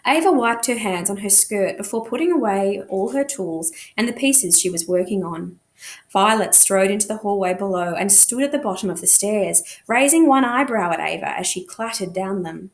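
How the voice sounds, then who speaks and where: A woman's voice: mid-range voice, expressive, moderate pace. A woman narrating a novel expressively, as a teacher reading aloud to students.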